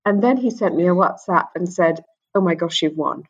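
A woman's voice: fairly low pitch, expressive, moderate pace, slightly rough, lo-fi sound. A middle-aged woman born in England, speaking candidly and earnestly as an interview guest, often repeating words as she starts a thought. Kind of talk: conversational interview.

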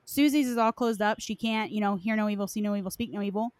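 A woman's voice: medium pitch, steady tone, fast talker, darkish tone, dry recording. A woman in casual, informal conversation, speaking loosely and conversationally as she shares her opinions. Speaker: podcast co-discussant on game lore. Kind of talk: casual chat.

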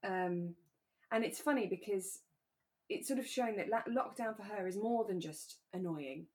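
A woman co-hosting a relaxed conversational podcast, talking in a candid, reflective and careful way. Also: mid-range voice, moderate pace. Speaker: podcast co-host.

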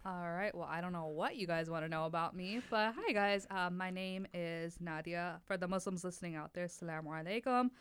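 Smooth-voiced young woman, chatty guest. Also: lowish voice, fairly quick, hardly any pauses, a bit bright, dry recording. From Wisconsin, United States. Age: 20s. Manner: chatty and open, talks in long flowing stretches. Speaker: podcast guest, lab tech. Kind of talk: casual podcast conversation.

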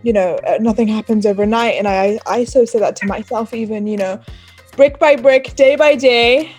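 A woman interviewer keeps affirming her guest between long questions. Her voice is fairly high and breathy. Faint music plays behind her. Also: normal speed, a little mellow. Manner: affirming, repeats "beautiful".

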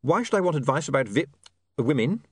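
A man as a flustered young newspaperman, pitched up. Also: very animated, a little fast, breathy edge, no room sound, clean studio sound. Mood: embarrassed. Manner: flustered, stammering, self-justifying. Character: awkward, defensive, well-meaning young newspaperman. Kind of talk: audiobook character voice.

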